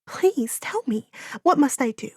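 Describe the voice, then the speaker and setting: A woman's voice: high voice, lively intonation, measured pace, breathy edge, no echo, studio quality, voice pushed up, exaggerated voice. A woman voicing a grief-stricken, ashamed young village girl in an audiobook, with a tearful, pleading delivery. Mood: subdued, pleading. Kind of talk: audiobook character dialogue.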